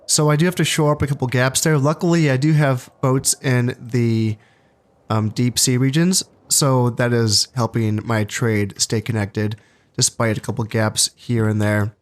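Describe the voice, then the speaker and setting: A man talks through his strategy game campaign with a stuffed-up, congested voice. He sounds a little low on energy, thinking aloud in a relaxed way at an ordinary, middling pitch. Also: a little slow. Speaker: gaming channel host. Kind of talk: casual strategy game commentary.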